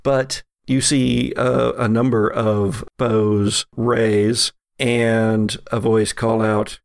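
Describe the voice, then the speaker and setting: Slow, creaky-voiced male game master. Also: mid-range voice, little pitch movement, no room sound, clean studio sound. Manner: halting, suspenseful scene-setting with pauses. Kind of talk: improvised narration of a horror tabletop game.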